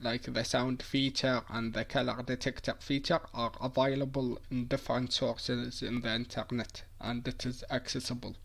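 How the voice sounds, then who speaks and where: A man's voice: medium pitch, flat delivery, moderate pace, gravelly, hardly any pauses, fairly bright tone. A man giving an explanatory presentation of a student project.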